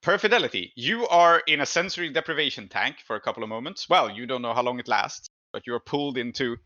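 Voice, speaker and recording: A man's voice: mid-range voice, lively intonation, fast talker, sharp tone, dry recording, dull audio. A man running a tabletop game as game master, narrating and making rulings in a thoughtful, deliberative way.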